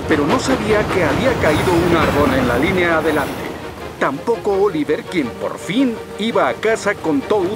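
A man's voice: on the high side, animated, moderate pace, natural voice. A man narrating a scripted children's cartoon story. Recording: loud music over voice.

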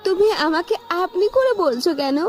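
A woman's voice: very high voice, very animated, moderate pace, faint music behind. A woman voicing a money-hungry young girlfriend in an amateur audio love-story drama. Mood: pleading, regretful. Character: scornful, money-hungry young girlfriend, later begging forgiveness.

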